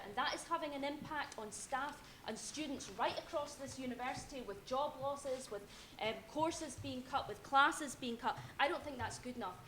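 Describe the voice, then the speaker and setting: A woman giving a measured, pointed campaign speech as a candidate at a debate. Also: high voice. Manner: measured and pointed, criticizing opponents. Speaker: election candidate. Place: university debate hall. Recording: echoey hall, faint noise.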